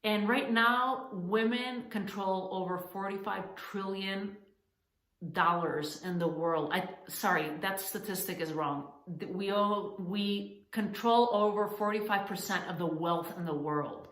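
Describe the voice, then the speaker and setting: A woman's voice: mid-range voice, a bit bright. A woman from Spain, founder of a coaching channel, giving earnest, encouraging money advice straight to camera, often restarting her sentences. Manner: earnest, encouraging, addresses women as ladies; halting restarts and fillers. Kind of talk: direct-to-camera advice talk. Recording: echoey room.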